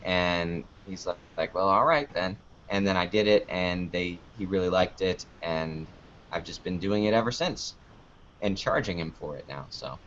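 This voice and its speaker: A man's voice: deep voice, expressive, moderate pace, fairly bright tone, a little hiss or hum. A man giving casual interview answers in a joking, anecdotal way, acting out little exchanges as he goes. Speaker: podcast producer and video creator being interviewed. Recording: dull lo-fi audio.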